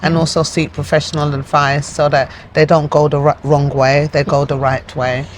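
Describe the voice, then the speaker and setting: A woman's voice: sits low, level tone, moderate pace, a little hiss or hum. A woman from Nigeria, a guest in an interview, talking earnestly and plainly with frequent fillers. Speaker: interview guest, community project founder. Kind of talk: conversational interview.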